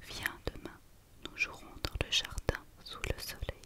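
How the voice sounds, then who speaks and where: A cheeky, kind little girl from a storybook as whispered by a woman. She is sure of herself and gently teasing, speaking slowly in a bright, crisp whisper. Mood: friendly teasing. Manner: teasing, matter-of-fact.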